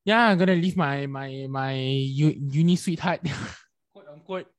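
A man's voice: on the high side, measured pace. A young man from Malaysia with a Malaysian English accent, co-hosting a casual chat and rambling with repeated words. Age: young adult. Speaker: podcast co-host.

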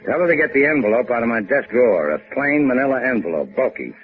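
An American actor playing a cool, wisecracking adventurer in a 1950s radio drama, with a sardonic, slangy delivery. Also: male voice, mid-range voice, lively intonation, fast, natural voice. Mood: casual scheming. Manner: sardonic, casual drawl with tough-guy slang. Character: wry, cool, wisecracking boat-owning hotel keeper adventurer. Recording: muffled, phone-like old radio recording.

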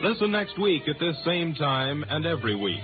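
A male 1950s radio announcer with a slightly high voice reads scripted show copy. The old broadcast is muffled, with prominent music behind him. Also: sing-song, moderate pace.

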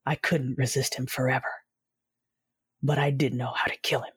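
An actress voicing a tormented young Sith woman who narrates her own story, intense and low, dropping to ragged whispers, over dramatic music. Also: flat delivery, normal speed, breathy edge, full character voice. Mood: grim. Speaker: first-person narrator.

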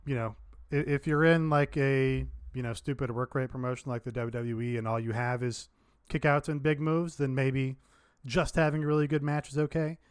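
A man with an average-pitched voice chats on a panel in a very dry recording. He is casual and conversational, with a deadpan, teasing edge. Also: normal speed, smooth, no echo. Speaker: panelist on a wrestling review show. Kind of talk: casual chat.

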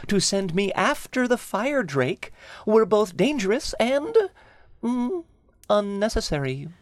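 Give me a man playing a pompous, clever young prince with a lively, swooping voice. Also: sits high, slow pace, no room sound, pitched above the performer's own voice, exaggerated voice. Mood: smugly reasoning. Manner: pompous, precise, lightly amused.

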